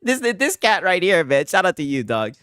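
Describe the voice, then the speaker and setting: A man's voice: high voice, big pitch swings, normal speed, fairly bright tone, smooth, dry recording. A man giving casual, mocking and incredulous reaction commentary, often tagging his sentences with a questioning "right?". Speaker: commentary host.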